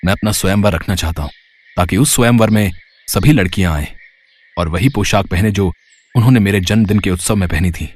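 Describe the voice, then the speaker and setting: A man voicing a curious, earnest young prince in an animated story, speaking in a wondering, sincere manner. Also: sits low, brisk.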